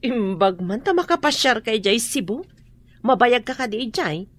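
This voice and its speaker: A woman's voice: a little high, big pitch swings, normal speed. A woman with a Filipino accent playing a sociable, inquisitive friend in a radio drama. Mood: curious, chummy.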